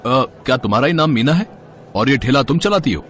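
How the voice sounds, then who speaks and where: A man's voice: mid-range voice, expressive, brisk, a bit bright, a little hiss or hum, close to an everyday voice. A man playing a stern, bullying food inspector in a moral story, officious and commanding. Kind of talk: storybook character acting.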